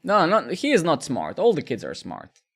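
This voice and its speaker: A man's voice: a little high, wildly swooping pitch, moderate pace, vocal fry, a bit bright. A man, chatting casually with viewers during a live coding stream, rambling and repeating words. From Serbia.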